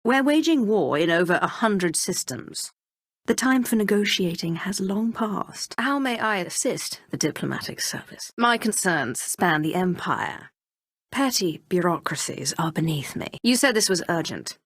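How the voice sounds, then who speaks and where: A woman's voice: mid-range voice, animated, unhurried, a bit bright, husky, no echo, studio quality. A woman voicing an imperious, haughty Sith sorceress in a video game, speaking in a commanding, controlled and theatrical way with cool menace. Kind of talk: scripted video game voice acting.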